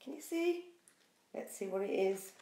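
Slow, echoey woman reading to small children. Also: mid-range voice, background noise. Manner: expressive, sing-song, questioning the young listeners. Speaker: preschool storyteller. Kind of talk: picture book read-aloud for young children. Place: small bare room indoors.

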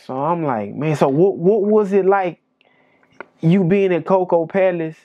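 A man's voice: high voice, a little slow, dry recording, muffled recording. A man in his thirties chatting casually and conversationally as an interviewer, using everyday slang. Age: 30s.

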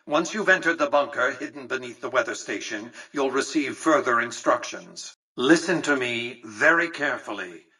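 An imperious male tycoon from a video game, condescending and exact in every phrase. The voice is crisp-edged and forceful yet a little raspy, heard slightly back from the microphone. He carries himself with pompous, coolly menacing authority. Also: medium pitch, animated, moderate pace, few pauses, recorded from a distance, exaggerated voice, poor audio. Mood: scornful, then coldly threatening. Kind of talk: scripted video game dialogue.

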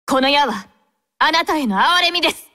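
Anime game role: young female android squad captain, devout, courteous, composed, lightly high-pitched. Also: a little slow. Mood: solemn, resolute. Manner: formal, gentle, composed. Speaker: game character voice actor.

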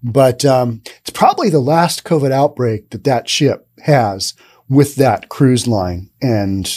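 A man in his thirties hosting a podcast, giving casual, sarcastic commentary on the news into a studio boom mic. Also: expressive, takes it slowly, a little mellow. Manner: sarcastic, acts out imagined dialogue. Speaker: podcast host. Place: dim studio with brick wall and neon sign.

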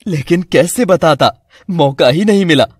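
Casting: concerned cartoon dad, played by a man, protective, persuasive, husky, close dry sound. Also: on the high side, lively intonation, moderate pace, no echo. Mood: earnest, explaining. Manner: earnest, persuasive. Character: earnest, protective Indian husband and father.

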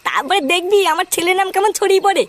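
A man voicing a brash, boastful, foul-mouthed cartoon father through a pitched-up filter. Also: animated, rapid-fire. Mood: boastful, angry. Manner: scolding, bragging, crude slang. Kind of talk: comic cartoon dubbing. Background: loud music.

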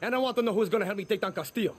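An actor playing a blunt, impatient young guerrilla in a video game, with a terse, dry delivery. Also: male voice, high-pitched, very fast. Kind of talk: video game cutscene acting. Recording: clear outdoor noise, crickets, vehicles.

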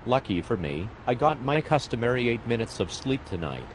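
A man voicing a tired, sarcastic male fire medic in an amateur animated comedy, speaking dryly and with exasperation. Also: medium pitch, brisk, a little hiss or hum, close to an everyday voice.

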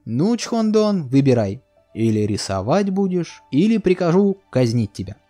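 A man's voice: fairly high pitch, normal speed, soft background music. A man voicing a greedy, hot-tempered king who barks orders in a cartoon fairy tale. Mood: commanding. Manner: barking orders, raised and excited.

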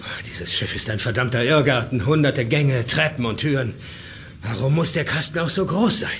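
A man's voice: medium pitch, normal speed, close to an everyday voice. An actor playing a bossy, sardonic man who leads a group of survivors in a German radio drama. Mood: irritated. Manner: commanding, sardonic.

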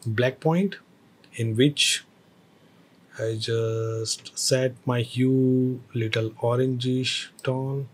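A man teaching a screen-recorded software tutorial, explaining each step with hesitant phrasing and fillers. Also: mid-range voice, breathy edge.

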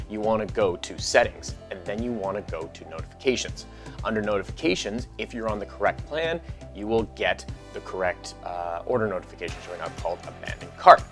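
Man, 30s, low voice, casual step-by-step tutorial, lapel mic, faint background music. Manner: conversational, explains step by step with frequent fillers. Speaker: online course instructor. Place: small home studio.

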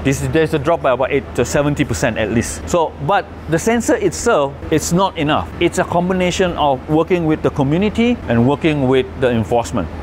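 A man's voice: on the high side, expressive, brisk, few pauses, noisy background. A middle-aged man from Malaysia giving a conservation talk and answering questions, explaining in a hesitant way with frequent restarts. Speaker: conservation speaker. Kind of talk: presentation with audience Q&A.

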